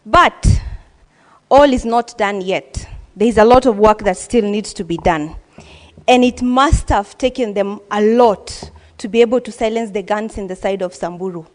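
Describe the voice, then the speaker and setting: A woman's voice: a little high, in a large hall. A woman giving an earnest, formal speech as a senator, with frequent hesitations. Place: legislative chamber.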